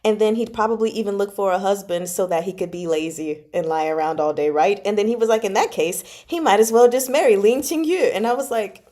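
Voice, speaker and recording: A woman chatting casually as host, retelling a novel to viewers in an animated, giggly, slangy way and acting out reactions. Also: mid-range voice, a little fast, few pauses. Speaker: book club host and storyteller.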